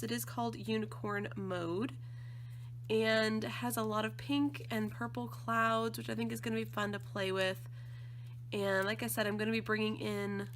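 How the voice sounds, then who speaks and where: Slightly croaky, gushing woman. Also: on the high side, fairly bright tone, slight background noise. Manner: gushing, enthusiastic. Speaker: scrapbooking design team member. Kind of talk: casual unboxing chat.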